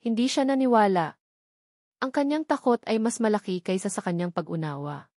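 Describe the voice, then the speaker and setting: A woman's voice: mid-range voice, normal speed, darkish tone, no room sound, studio quality. A woman reading a scripted love story as a dramatic narrator.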